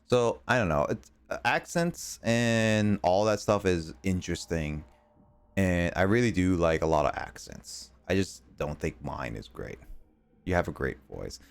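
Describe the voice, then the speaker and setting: A young American man streaming games, talking casually in halting, rambling bursts full of fillers and restarts. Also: low voice, animated, creaky, clean tone. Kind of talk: casual chat while gaming.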